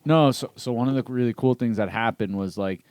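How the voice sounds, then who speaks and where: A man, a returning guest on a podcast, telling rambling, excited stories in casual chat on a close mic. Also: mid-range voice, fairly quick, clear, resonant tone, dry recording.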